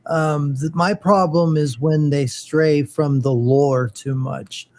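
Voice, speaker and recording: Man in his 40s, higher voice, hesitant, lots of fillers, casual co-host. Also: steady tone, takes it slowly, a little mellow. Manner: hesitant, full of fillers and restarts. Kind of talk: casual chat.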